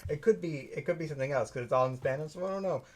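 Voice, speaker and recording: A man's voice: on the high side, very fast, a crowd in the background. A man working as a podcast producer, speaking casually and informally. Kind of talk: casual chat.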